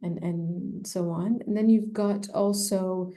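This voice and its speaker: A woman who specializes in personal branding, giving measured, thoughtful advice in an interview with frequent fillers. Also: medium pitch, steady tone, dark tone. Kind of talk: conversational expert advice.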